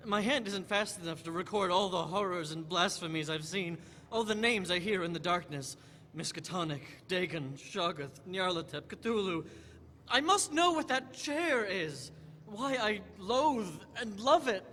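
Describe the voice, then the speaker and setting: Guy doing a haunted horror writer, real high voice, formal and melodramatic, noisy echoey stage recording. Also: lively intonation, unhurried, bright, forceful tone, exaggerated voice. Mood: haunted, fervid. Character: tormented, nightmare-plagued male horror writer. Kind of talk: audio-drama style stage acting. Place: large convention hall stage.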